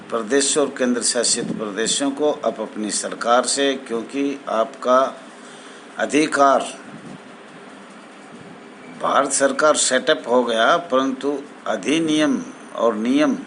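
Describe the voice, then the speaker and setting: A man giving an informal explanatory address to fellow members, speaking haltingly with formal honorifics and repeated phrases. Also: mid-range voice, a bit bright, a little hiss or hum, low-quality recording. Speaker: home guard association spokesman.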